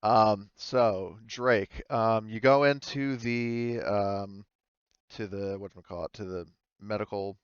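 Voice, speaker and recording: A man running a tabletop game as game master, narrating in a casual, halting, informal way. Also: on the low side, clean tone, no echo, dull audio.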